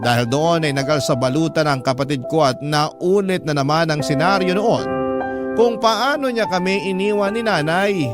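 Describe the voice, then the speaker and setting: A man's voice: on the high side, moderate pace. Emotive Filipino male narrator over prominent music. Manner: emotive storytelling. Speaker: narrator of a letter-based love story. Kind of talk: dramatic scripted narration, Filipino radio. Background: music bed.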